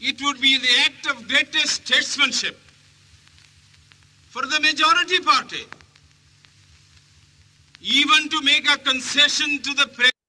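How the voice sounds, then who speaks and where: A man making a formal, deliberate address to an assembly chairman in measured oratory. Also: extremely high pitch, pushed and bright.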